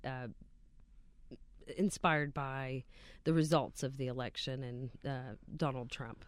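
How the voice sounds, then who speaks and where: A woman from West Virginia speaks with a smooth, clear voice in a dry studio, her words full of pauses and ums. She sits slightly back from the mic. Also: a bass voice, steady tone, dry recording. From West Virginia, USA. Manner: hesitant, many ums and uhs, soft-spoken. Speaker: guest, local activist. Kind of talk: casual interview. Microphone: sits a bit off the studio mic.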